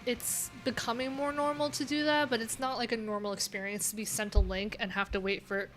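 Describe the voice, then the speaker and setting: A woman's voice: a little high, flat delivery, a little fast, a bit bright. A young adult woman hosting a coding livestream, talking casually and rambling with lots of fillers, with faint music in the background. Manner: chatty, rambling, many "like"s and "um"s.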